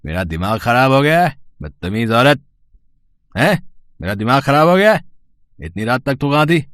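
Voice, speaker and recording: A man's voice: medium pitch, very animated, normal speed, fairly bright tone, no room sound. Man acting a drunk, bullying husband, close and dry. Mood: angry, accusing. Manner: slurred, bullying drunken rambling. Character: drunk, abusive village husband and father, suspicious, menacing. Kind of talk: melodramatic Hindi audio story acting.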